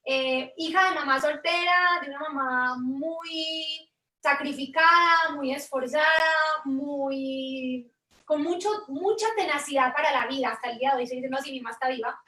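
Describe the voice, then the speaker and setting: A woman's voice: high voice, steady tone, moderate pace, sharp tone, echoey room, distant mic, low-quality recording. A woman from Medellín with a Colombian Spanish accent, telling her life story as an interview guest in an earnest, thoughtful way with frequent restarts. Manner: earnest, thoughtful, with many restarts and tag questions. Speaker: guest investor.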